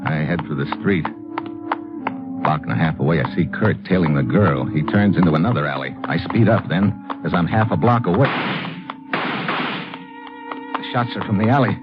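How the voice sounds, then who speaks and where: An actor playing a tough, brisk American secret agent in an old radio detective drama, with clipped first-person narration and dialogue. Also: male voice, deep voice, animated. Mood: tense, hard-boiled. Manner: clipped, hard-boiled delivery. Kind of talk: 1950s radio detective acting, scripted narration. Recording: muffled old radio recording, loud music.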